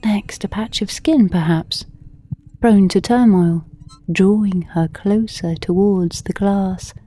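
A woman narrating a scripted story in an intimate, hushed and measured manner, pausing between short phrases. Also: medium pitch. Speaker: narrator of an audio drama.

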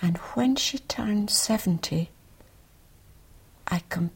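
A woman reflects personally in an interview. Her voice is husky and breathy, at a middle pitch. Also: slow pace.

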